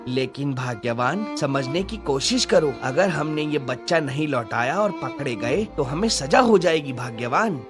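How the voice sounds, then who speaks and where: A man acts out an anxious fisherman in a cartoon story. His mid-pitched voice is urgent and pleading, full of fear. Soft music plays behind. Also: animated, normal speed, hardly any pauses, close to an everyday voice. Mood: worried, pleading. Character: anxious, fearful poor fisherman husband. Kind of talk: Hindi cartoon story acting.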